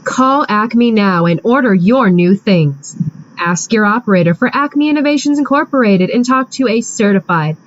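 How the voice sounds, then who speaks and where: An actress playing an upbeat pitchwoman reading a mock radio commercial. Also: lively intonation, normal speed, lo-fi sound, slight background noise, lightly acted. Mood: selling. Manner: salesy, upbeat. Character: brisk female commercial pitchwoman.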